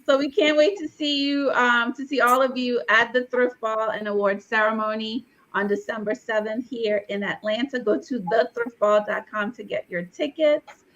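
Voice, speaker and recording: A woman's voice: on the high side, normal speed, few pauses, a bit bright, muffled recording. A woman hosting an online awards meet and greet over a video call, upbeat and reading viewer comments aloud. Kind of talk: virtual event hosting. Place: Atlanta.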